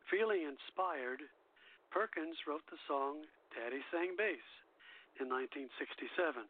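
A man hosting a radio show, reading scripted narration in a somewhat halting way. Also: on the high side. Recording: dull lo-fi radio recording.

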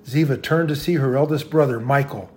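A man reading a story's narration in an even, steady way. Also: mid-range voice, fairly quick.

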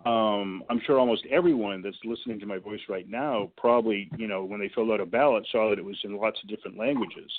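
A man's voice: lowish voice, fast talker, hardly any pauses. Emphatic man on a tinny phone line. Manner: emphatic, talks in sports comparisons. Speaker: guest advocacy director. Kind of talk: phone-in radio interview.

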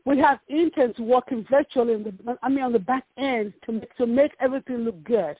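A woman's voice: on the high side, fairly quick, husky, dry recording. A woman from Nigeria with a Nigerian accent, a ministry founder talking earnestly about her work and often repeating words as she searches for them. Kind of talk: casual interview talk. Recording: muffled phone line.

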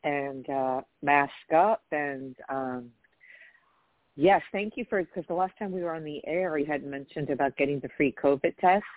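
A woman's voice: sits low, moderate pace, dry recording. A woman co-hosting a casual radio chat, bantering with exclamations and frequent restarts. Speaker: co-host. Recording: tinny phone-like dry line.

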